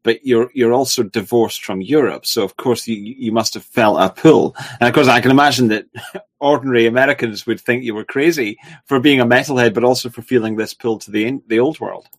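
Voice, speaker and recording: A man's voice: medium pitch, a little fast. Probing male interviewer. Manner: probing, repeats words as he starts. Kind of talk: casual interview talk.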